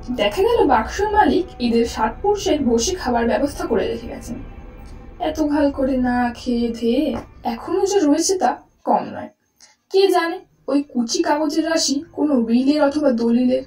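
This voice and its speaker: A woman narrator tells a suspense tale theatrically in a high voice. The sound is echoing and set back from the mic. Faint music runs behind her. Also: dark tone, recorded from a distance. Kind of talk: dramatised story narration.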